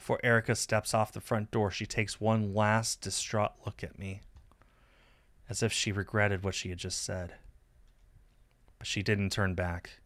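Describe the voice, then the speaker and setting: Low-voiced man narrating a story, dry sound. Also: flat delivery, unhurried, long pauses, a bit bright, no room sound. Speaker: streamer reading a visual novel aloud.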